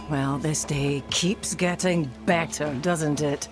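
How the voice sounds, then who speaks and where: An actress playing a hardened village guardian in a dark fantasy game, dry and world-weary. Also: female voice, low-pitched, fairly even intonation, slow, music in the background. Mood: weary warning. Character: hardened, wry woman warrior guarding a village.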